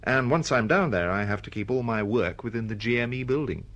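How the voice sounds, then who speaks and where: An actor playing a mild, easily flustered novelist in a radio drama, hesitant and politely protesting. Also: male voice, medium pitch, expressive, fairly quick, a bit bright, highs cut off. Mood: excited, evasive. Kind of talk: BBC radio drama acting.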